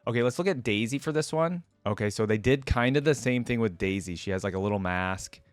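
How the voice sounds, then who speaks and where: Male reaction host, lowish register, informal and conversational, thinking out loud, close dry sound. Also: brisk, dry recording. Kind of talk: casual reaction commentary.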